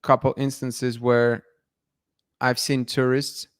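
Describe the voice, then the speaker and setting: Man, smooth clear voice, dry close sound, casual live chat, laughs and restarts. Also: medium pitch, level tone, slow pace, no echo. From Russia. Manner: chatty, restarts words, laughs often. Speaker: channel host. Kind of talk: casual live-stream chat reading viewer comments.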